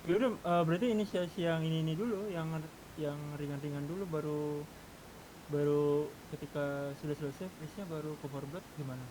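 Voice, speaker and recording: A man talking casually on gaming voice chat, repeating words as he reasons. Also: fairly quick. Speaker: gamer discussing plans. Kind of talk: casual group chat during online roleplay gaming. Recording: noisy background rumble.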